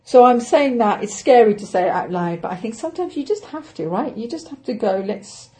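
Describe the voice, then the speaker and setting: A woman's voice: a little high, expressive, brisk, mellow. A middle-aged English woman, an actress, talking through her week on a casual solo podcast in a chatty, self-deprecating way, thinking aloud as she goes. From England.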